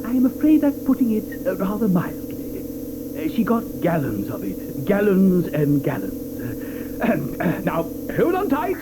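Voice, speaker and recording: An eccentric chocolatier: grandiloquent and fussy, with a high, very animated voice. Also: male voice, unhurried, heavy background noise, exaggerated voice. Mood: breezy. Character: eccentric, fussy, flamboyant adult male chocolatier. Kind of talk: 1980s studio radio play acting.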